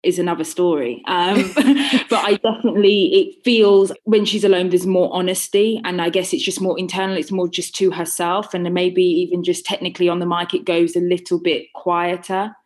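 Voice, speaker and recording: Chatty woman with a lowish voice. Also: fairly even intonation, normal speed, few pauses, a little mellow. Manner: chatty, laughs often, trails off and restarts. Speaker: audiobook narrator answering questions. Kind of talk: casual Q&A chat.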